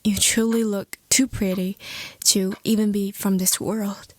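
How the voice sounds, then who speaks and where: A woman's voice: mid-range voice, little pitch movement, unhurried, no echo, clean studio sound, lightly acted. A woman playing a courteous, smitten old-world vampire, speaking formally and intimately in a roleplay audio drama. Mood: flustering, adoring. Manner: formal, old-fashioned diction, tender and breathy.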